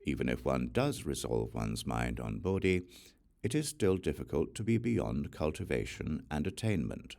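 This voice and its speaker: A man narrating a Buddhist scripture as an audiobook, reciting solemnly in short, measured phrases. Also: very deep voice, sing-song, creaky, darkish tone.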